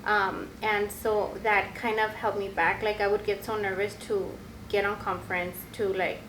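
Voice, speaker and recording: A woman whose first language is Spanish co-hosts a relaxed podcast, reflecting on her career in a mid-pitched voice. She talks loosely, with many "like"s and "you know"s. The room sounds echoey, with a faint hiss underneath. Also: normal speed. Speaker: podcast co-host. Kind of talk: casual podcast chat.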